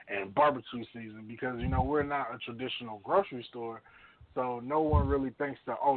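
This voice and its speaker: A man's voice: mid-range voice, no echo. A man co-hosting a radio show in casual chat, with a blunt, slangy way of talking. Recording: narrow tinny phone-like sound.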